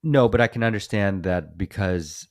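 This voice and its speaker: An easygoing man with a low voice, recorded close and dry. He co-hosts a casual film chat and breaks into light laughs as he talks. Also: normal speed, darkish tone, dry recording. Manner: easygoing, laughs often mid-sentence. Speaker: podcast co-host.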